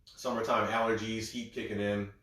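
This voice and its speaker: A man guiding a breathwork session in a calm, gentle, step-by-step way, a little congested. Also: on the low side, normal speed. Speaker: breathwork instructor. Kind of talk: guided breathing instruction. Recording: very echoey room.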